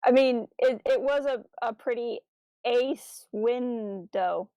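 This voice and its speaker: A woman's voice: on the high side, slow, mellow, dry recording. A woman co-hosting a podcast in casual fan discussion, phrasing hesitantly with lots of "like". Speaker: podcast co-host.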